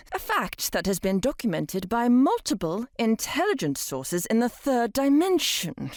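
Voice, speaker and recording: High-voiced, commanding angel judge. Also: female voice, a little mellow, no echo, studio quality. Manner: commanding, sarcastic. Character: towering stern angel judge, female, sarcastic and impatient. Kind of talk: audio drama acting.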